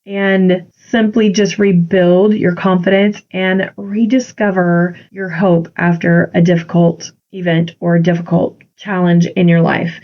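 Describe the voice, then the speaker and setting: A woman with a congested voice hosting a solo podcast, speaking earnestly and encouragingly as a confidence coach. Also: medium pitch. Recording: dull lo-fi recording.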